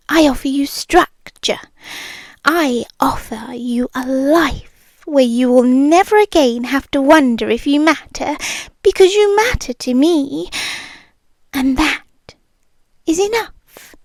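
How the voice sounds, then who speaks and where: A woman with a British accent voicing a possessive, obsessive rich young girlfriend. She speaks in a soft, breathy whisper, slow, intimate and menacingly sweet, close to the microphone. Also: sits high, no room sound. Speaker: ASMR roleplay performer. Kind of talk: ASMR roleplay.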